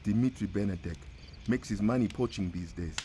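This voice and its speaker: A low-voiced, grave village guide played by a male actor delivers video game cutscene dialogue like a haunted survivor. He tells his story deliberately and solemnly, with clear ambient noise behind him. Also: mellow, natural voice. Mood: grave, wary.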